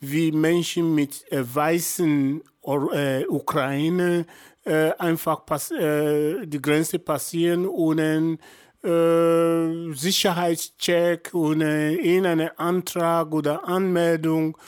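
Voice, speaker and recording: Man in his fifties from Nigeria, podcast guest; slow, hesitant delivery. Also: a little high, little pitch movement, clean tone. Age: 50s. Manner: halting, many fillers and restarts. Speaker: guest, journalist and activist. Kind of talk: podcast interview answers.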